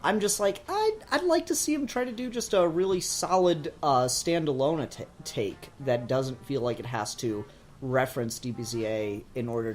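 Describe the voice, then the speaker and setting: Podcast host chatting casually, kinda rambly and hesitant, mid-range voice. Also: medium pitch. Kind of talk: casual discussion of comics and anime.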